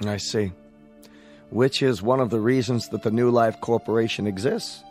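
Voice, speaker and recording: An actor playing a courteous, persuasive corporate salesman in a radio drama, with a polished, flattering manner. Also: mid-range voice, normal speed, soft background music, close to an everyday voice. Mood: solicitous.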